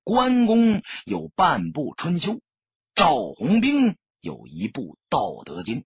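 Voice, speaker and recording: A man narrating an audiobook in a dramatic storytelling style, with a husky, raspy voice. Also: a little high, wildly swooping pitch, takes it slowly, no echo, highs cut off.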